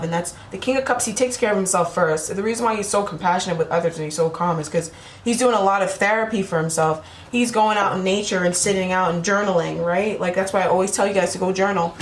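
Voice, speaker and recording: A woman's voice: mid-range voice, quick pace. Tarot reader, woman, losing her voice; chatty, rambling delivery to camera; echoey room, faint background hum. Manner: rambling, repeats "right?" and "you know?" to the viewer. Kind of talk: casual spiritual tarot reading to camera.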